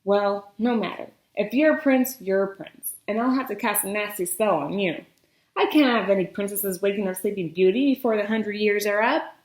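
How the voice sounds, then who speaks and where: A woman's voice: medium pitch, moderate pace, a little mellow, close to an everyday voice. A woman plays a fairy-tale witch who casts spells. She sounds nosy and suspicious, questioning whoever she meets, in an echoing room.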